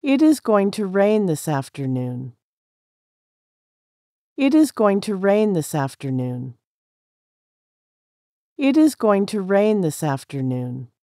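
A woman with an American accent reads practice sentences very slowly, each word laid out plainly for learners. Her pitch rises and falls freely across each line. The sound is dry and close, with no room around it. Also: dark tone, no echo. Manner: clear, careful, each sentence said three times. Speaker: English practice reader. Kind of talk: slow repeated sentence reading for drills.

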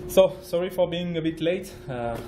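A man from France with a French accent, giving a conference talk as a project manager, halting, with many fillers and restarts. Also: fairly high pitch, lively intonation, roomy sound, noisy background. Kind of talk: conference presentation. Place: conference room.

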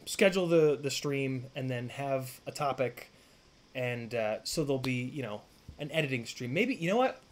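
A man in his thirties streaming live from a home workshop, chatting casually with viewers, rambling and swearing with lots of fillers. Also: medium pitch. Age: 30s. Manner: rambling, profane, full of fillers and restarts. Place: home workshop room, indoors.

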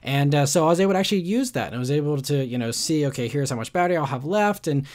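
A man's voice: fairly high pitch, lively intonation, very fast, darkish tone. A man narrating his road trip in a casual vlog voice-over, rambling with lots of "you know" and "uh" fillers. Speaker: car reviewer.

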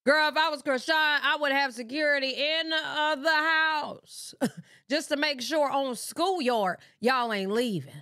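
A woman in her thirties hosting a livestream, reacting and talking straight to her viewers in a sassy, animated way. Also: high-pitched, a little slow, no room sound, clean studio sound. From Michigan. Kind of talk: casual livestream reaction commentary. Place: bedroom streaming setup with pink lights. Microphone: desk mic in front of her.

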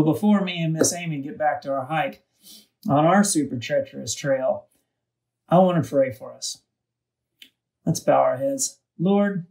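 A man's voice: a little high, gravelly, a little mellow. A man reading a scripted devotional for children, recorded at some distance from the microphone. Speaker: kids' devotional reader.

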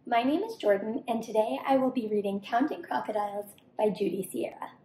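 A young woman reading a rhyming children's story aloud expressively and deliberately. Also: fairly high pitch, dark tone, slight background noise. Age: young adult. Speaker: storybook reader. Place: home kitchen-dining room, indoors. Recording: roomy indoor echo.

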